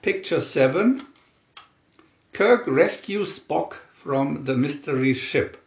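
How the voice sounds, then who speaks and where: Male narrator, slow and dramatic, lively swooping pitch, for picture-story booklet readings. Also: vocal fry. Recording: dull, echoey room recording.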